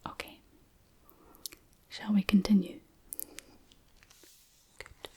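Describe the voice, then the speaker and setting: Whispering woman, slow and hushed. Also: dull audio. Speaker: language tutor. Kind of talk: whispered ASMR language lesson.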